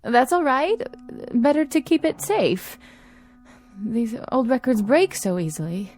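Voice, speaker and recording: An actress playing an anxious, placating American wife in a mid-century TV drama. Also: female voice, fairly high pitch, lively intonation, a little slow, darkish tone. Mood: anxious, firm. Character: anxious, pleading wife hushing her husband.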